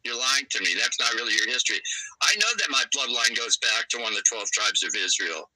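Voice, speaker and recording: A man's voice: mid-range voice, lively intonation, brisk, bright, forceful tone, no room sound, poor audio. A man from California arguing hard as a guest on a live panel over a video call, emphatic and swearing. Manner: angry, profane, emphatic. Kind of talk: heated live panel debate.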